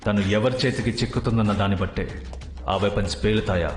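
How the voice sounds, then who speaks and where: A man's voice: on the low side, fast talker, faint music behind, close to an everyday voice. A man playing an urgent, authoritative mission controller giving a tense briefing in an action film. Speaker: film actor. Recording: echoey film audio, loud background score.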